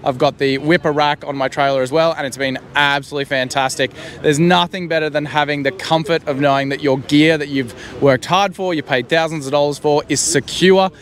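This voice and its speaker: An Australian man in his thirties hosting a chatty interview outdoors at an expo, agreeing often and talking quickly. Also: fairly high pitch, steady tone, fairly bright tone. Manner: chatty, agreeable, frequent yeahs. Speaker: channel host interviewing exhibitors. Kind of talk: casual chat at a trade expo. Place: outdoor expo field by a marquee. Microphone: handheld mic, wide camera.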